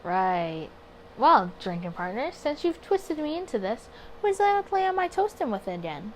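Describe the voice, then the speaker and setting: A woman's voice: on the high side, sing-song, normal speed, a little hiss or hum, low-quality recording. A woman playing a wary, grumbling male detective in a 1920s visual novel, with a sardonic, suspicious manner.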